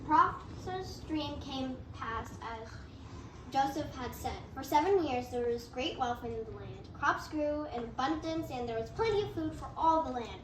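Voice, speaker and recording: A woman narrates an amateur reader's theatre story in a high voice. The recording is roomy and distant, with noticeable noise. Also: expressive, measured pace.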